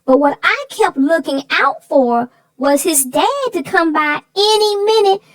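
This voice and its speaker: A woman voicing a sassy, feisty female co-host in chatty banter, with a folksy, emphatic, drawn-out way of telling things. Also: very high voice, lively intonation. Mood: chatty, amused. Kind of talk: scripted chatty banter about a children's book.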